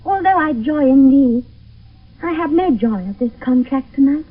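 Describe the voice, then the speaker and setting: An old, tinny radio play with a distant sound. a lovestruck British maiden, high and breathy, speaking verse with fervent, anxious tenderness. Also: female voice, lively intonation, moderate pace. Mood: anxious pleading. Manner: theatrical, fervent verse delivery. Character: Juliet, lovestruck young maiden, ardent and anxious. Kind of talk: 1970s classical radio drama verse acting.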